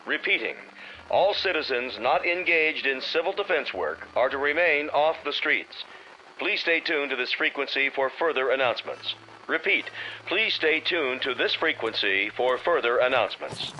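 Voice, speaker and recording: An actor playing a solemn male emergency announcer in a radio drama, reading formal bulletins in a measured, authoritative way through a radio filter. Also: mid-range voice, expressive, bright, forceful tone. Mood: urgent, official. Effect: old radio set filter. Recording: dull radio-filtered sound with faint noise.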